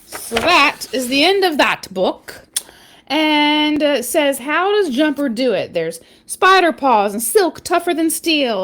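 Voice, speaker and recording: Female picture-book reader: high voice, very animated delivery, expressive emphasis, casual asides to young listeners. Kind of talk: animated read-aloud storytelling with casual asides.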